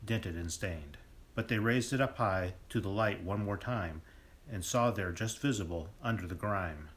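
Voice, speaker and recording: A man's voice: on the low side, fairly bright tone, close to an everyday voice. A man playing a theatrical storybook narrator, telling a comic murder mystery in lilting rhyme. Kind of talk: scripted rhyming narration.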